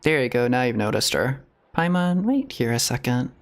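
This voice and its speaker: A man's voice: mid-range voice, sing-song, normal speed, noisy background. A man giving casual live game commentary as a streamer, chatty and musing.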